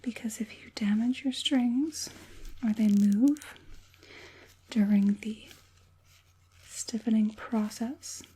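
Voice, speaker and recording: Girl doing an evil witch puppeteer, super breathy whisper, soft n dark, sly and coaxing, asmr roleplay. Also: fairly high pitch, exaggerated voice. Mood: calm, controlling. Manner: slow, deliberate, soothingly menacing. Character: evil witch puppet master, sinister, coaxing, falsely caring woman.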